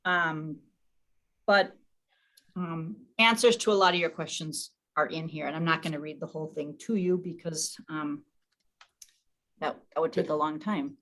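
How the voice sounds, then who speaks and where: A woman's voice: sits low, normal speed, pauses often, fairly bright tone. A woman leading an informal online meeting, chatty and often interrupting herself as she briefs the group. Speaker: meeting leader and organizer.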